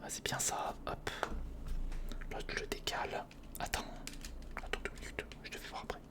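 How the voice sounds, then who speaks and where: A man giving whispered ASMR commentary on a hands-on build, murmuring to himself as he thinks and chatting informally to the viewer. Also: normal speed. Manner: murmurs to himself while thinking through steps; informal, chummy address to the viewer. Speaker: ASMR video host.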